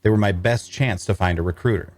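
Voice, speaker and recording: A man's voice: lowish voice, brisk, natural voice. An actor playing an earnest, cash-strapped traveler, telling his tale in first-person fantasy narration and dialogue. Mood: reflective. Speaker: narrator and lead actor in a table read.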